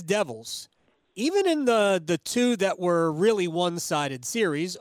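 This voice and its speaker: A man hosts a conversational sports show in a high-pitched, smooth voice. He is eager and opinionated, rambling a little as he recalls things. The sound is close and dry, with no room echo.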